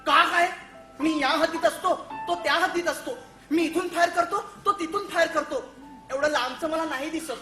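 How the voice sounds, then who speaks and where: A woman's voice: very high-pitched, moderate pace, bright, forceful tone. A woman playing a naive, earnest young soldier son in a comic stage play, explaining things in a childlike, eager way. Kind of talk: theatrical one-act stage comedy acting. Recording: echoey stage recording with faint music.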